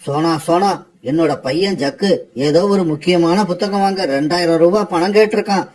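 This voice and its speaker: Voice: mid-range voice, moderate pace, darkish tone, close to an everyday voice. Actor doing a cartoon bird parent, grown up, earnest n a bit stern. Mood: worried. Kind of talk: children's cartoon voice acting.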